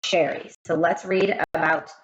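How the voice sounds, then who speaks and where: A woman reading a children's story aloud in an expressive way, speaking straight to young listeners. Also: low-pitched, measured pace. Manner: expressive, addresses children directly. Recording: roomy echo with faint noise.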